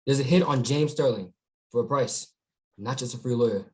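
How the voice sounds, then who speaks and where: A man's voice: mid-range voice, moderate pace, a little mellow, close to an everyday voice, poor audio. Man playing a muted, guarded gang member. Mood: guarded, conspiratorial. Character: shady male gang member tipping off a guard. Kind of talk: virtual screenplay table read. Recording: remote online read.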